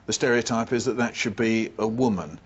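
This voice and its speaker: A man's voice: on the low side, fairly quick, slightly rough, highs cut off. A man presenting a books programme, moving between scripted narration and hosting a discussion.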